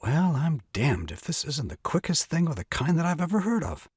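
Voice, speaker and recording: A man's voice: fairly high pitch, sing-song, normal speed, darkish tone, husky, no room sound, studio quality, exaggerated voice. A man playing a flustered, indignant young new father in a comic stage farce, blustering and exclaiming. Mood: astonished bluster.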